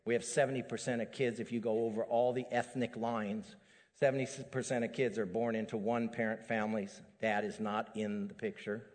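A middle-aged man preaching a sermon as a pastor, animated and building to emphatic peaks. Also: fairly low pitch, fairly quick. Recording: long hall reverb.

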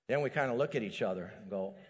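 A middle-aged man preaching a sermon as a pastor, animated and building to emphatic peaks. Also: fairly low pitch, quick pace. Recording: long hall reverb.

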